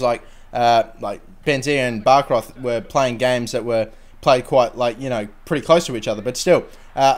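A young man with a dry, sore throat narrates his football game in loose, rambling commentary. His voice sits a little higher than most men's, and he swears freely when he gets worked up. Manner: rambling, excitable at goals, swears casually. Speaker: gaming channel host. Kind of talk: casual gameplay commentary.

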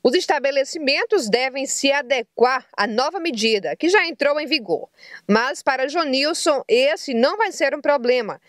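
A young Brazilian woman reporter narrating a news story with clear, measured delivery. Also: high-pitched, animated, no room sound, clean studio sound. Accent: Brazilian Portuguese.

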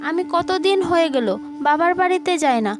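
Woman acting a young overworked daughter-in-law, very high pitch, plaintive, cartoon drama, music behind. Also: fairly quick. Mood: pleading. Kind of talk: cartoon family drama dialogue.

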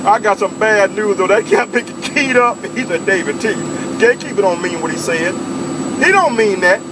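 A Southern US man bantering on CB radio in an animated, teasing way, repeating himself. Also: high voice, normal speed. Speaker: CB radio operator. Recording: very noisy CB radio transmission.

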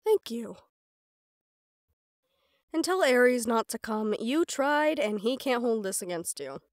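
A woman's voice: a little high, expressive, slow pace, no room sound, studio quality. Sarcastic, defiant goddess, acted by a woman. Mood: firm, teasing. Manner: sarcastic, teasing, stubborn. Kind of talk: audio roleplay drama acting.

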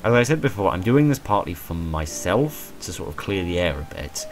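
A man in his twenties with a hoarse voice, talking in a rambling, self-deprecating way about his channel and stumbling over repeated words from a small room at home. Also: low-pitched, sing-song, normal speed, a little hiss or hum. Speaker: channel creator. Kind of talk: rambling personal monologue.

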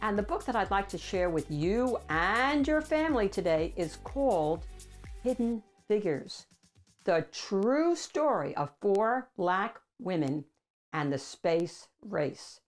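An older woman reads a picture book aloud as a host for children, with deliberate, emphatic phrasing. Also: medium pitch, very animated, soft background music. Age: older, 60s or above. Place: small room at home with bookshelves.

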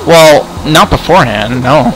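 Halting, rambling man with higher voice. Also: animated, background noise. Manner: rambling, repeats words haltingly. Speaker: host and player in a roleplay game video. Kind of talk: casual improvised roleplay chat. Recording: music behind the voice. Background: music.

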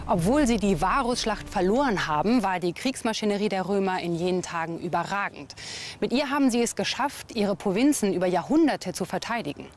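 A woman's voice: mid-range voice, animated, fairly quick, darkish tone, faint music behind. A woman reading scripted documentary narration.

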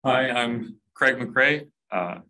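A man's voice: mid-range voice, animated, takes it slowly, bright, forceful tone. A man from Canada introducing himself, unsure and a little shy. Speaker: summer school student.

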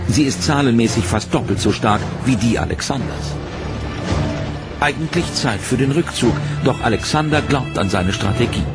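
A man giving scripted, measured documentary narration in a dramatic storytelling manner, over a music bed. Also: medium pitch, vocal fry. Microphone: studio voice-over mic.

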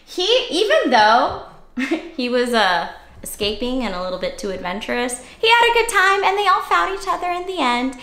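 A young adult woman reading a picture book aloud to young children in an animated, exclamatory, questioning way. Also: sits high, moderate pace. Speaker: children's read-aloud host. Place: small cozy indoor room. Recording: roomy indoor echo.

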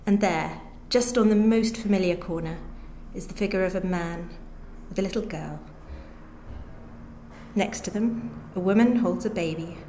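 A woman's voice: fairly low pitch, unhurried, warm tone. A woman reading a short story aloud as scripted narration. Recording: echoey room with background noise.

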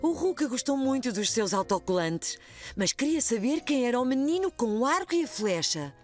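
A woman's voice: a little high, big pitch swings, normal speed, a little mellow, faint music behind. A woman with a European Portuguese accent reading scripted storybook narration for a children's cartoon. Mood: storytelling.

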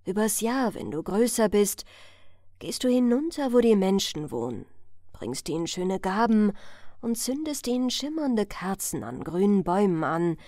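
A woman's voice: medium pitch, sing-song, moderate pace, warm tone, dry recording, clean studio sound, close to an everyday voice. A woman voicing a kindly, motherly fairy spirit, reverent and solemn, in a storybook reading. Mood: joyful, solemn. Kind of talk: fairy-tale audiobook character reading.

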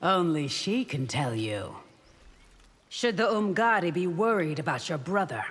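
A woman's voice: lowish voice, very animated, unhurried, slightly rough, exaggerated voice. A woman playing a proud, guarded royal warrior in a fighting game, formal and confident. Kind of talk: dramatic fighting game dialogue. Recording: reverberant hall-like game mix.

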